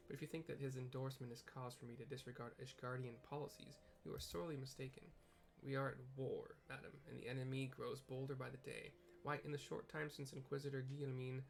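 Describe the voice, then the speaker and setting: A man's voice: mid-range voice, creaky, lightly acted. Man reading a haughty, stern fantasy official. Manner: formal, dismissive, pompous. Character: stern, haughty astrologer official in yellow robe. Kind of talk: read-aloud fantasy game dialogue.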